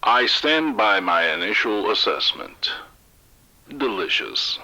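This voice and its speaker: An actor playing a smug, unrepentant research doctor, deadpan and self-satisfied, in a scripted audio drama. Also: male voice, on the low side, slow, heavily acted, low-quality recording.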